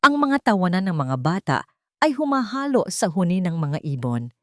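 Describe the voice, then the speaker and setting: Woman, audiobook narrator, scripted dramatic storytelling, slightly low pitch, dry recording. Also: sing-song, moderate pace, darkish tone, dry recording.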